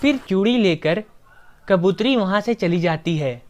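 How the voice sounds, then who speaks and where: A woman's voice: mid-range voice, animated, a little slow, a little mellow. A woman narrator reading a scripted children's story.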